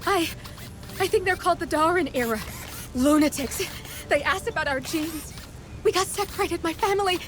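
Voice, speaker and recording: A terrified female civilian in a sci-fi game, her voice extremely high. She pleads tearfully, like a captive desperate for help. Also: normal speed, pauses often, over music. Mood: distraught, pleading.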